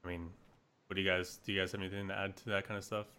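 Deep voiced podcast dude, rambly, lots of hesitating, crisp clear tone. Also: male voice, flat delivery, rapid-fire, sharp tone. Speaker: podcast co-host. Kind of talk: casual podcast chat.

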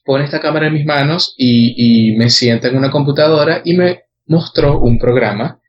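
A man with a Venezuelan accent, a guest photographer telling his story in a relaxed way, with many hesitations and repeated words. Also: mid-range voice, moderate pace, lo-fi sound, slight background noise. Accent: Venezuelan Spanish. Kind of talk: casual interview chat.

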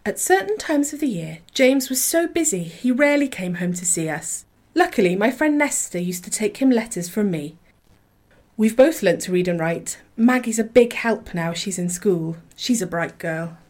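A woman's voice: mid-range voice, expressive, moderate pace, darkish tone, close to an everyday voice. A woman playing a rural wife and mother telling her story in a radio play. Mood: fond.

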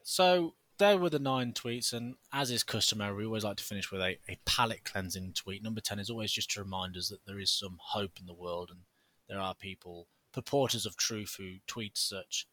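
Man, podcast co-host, bright forceful voice, sarcastic theatrical jokes. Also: on the low side, brisk. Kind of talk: casual podcast chat.

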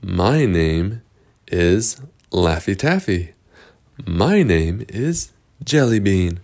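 A man's voice: sits low, very animated, drawn-out words, a little mellow, heavily acted. A man voicing a friendly, easygoing talking unicorn in a made-up bedtime story. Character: friendly talking unicorns, mystical creatures with candy names.

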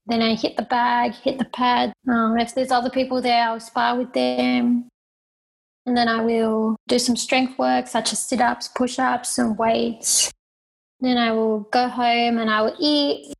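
Young Australian woman, fairly high voice, plain unpolished delivery, interview guest. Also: level tone, measured pace, creaky, breathy edge, low-quality recording. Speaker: guest, professional fighter. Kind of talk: interview answers.

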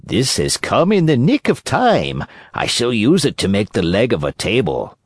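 A man's voice: mid-range voice, huge pitch swings, moderate pace, husky, dry recording. A man voicing a jittery old carpenter. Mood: pleased. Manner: mumbling, nervous laughter.

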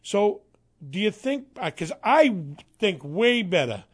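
A man's voice: sits high, big pitch swings, slow, slightly rough, dry recording, clean studio sound. A man in his fifties co-hosting a radio talk show, joking and jumping in with quips. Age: 50s. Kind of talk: casual radio banter.

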